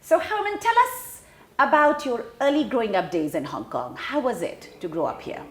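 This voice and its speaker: Lively woman host in an echoey room. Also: a little high, moderate pace. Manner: polished, expressive presenter delivery. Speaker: show host. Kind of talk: scripted introduction and interviewing.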